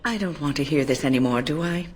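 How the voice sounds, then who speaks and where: A woman's voice: low voice, quick pace, slight background noise, natural voice. An actress playing a prim, curious older female mage in a fantasy game, with a wry, politely bemused manner. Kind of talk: fantasy video game voice acting.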